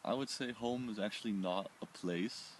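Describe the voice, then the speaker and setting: An Austrian man who grew up in several countries, answering personal questions reflectively in short phrases with pauses. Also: on the low side, slight background noise, low-quality recording. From born in Oman, Austrian, grew up in several countries. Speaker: interviewee.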